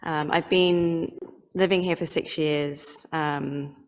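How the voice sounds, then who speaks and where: An English woman photojournalist introduces herself on a panel, unhurried and full of ums. Her voice sits very low with a gravelly fry. A long hall reverb trails behind her. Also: fairly even intonation. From England. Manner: measured, with frequent ums.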